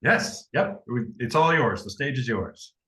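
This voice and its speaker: A man's voice: mid-range voice, expressive, a little slow, bright, forceful tone. A man hosting a webinar, introducing a guest in a casual way with frequent fillers. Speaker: webinar host. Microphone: video call.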